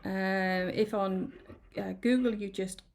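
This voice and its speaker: A woman chatting through a card-making tutorial, a little croaky from hay fever, rambling and apologetic with many ums. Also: medium pitch, steady tone, takes it slowly, darkish tone, slight background noise. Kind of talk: casual craft tutorial. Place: home craft room.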